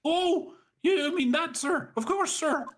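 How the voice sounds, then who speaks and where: A Scottish man voicing a nervous young male police officer who keeps calling everyone sir in a high, fawning voice. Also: slow pace, a bit bright, slight background noise, exaggerated voice. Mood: flustered. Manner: squeaky, fawning, every line ends in "sir". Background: background music.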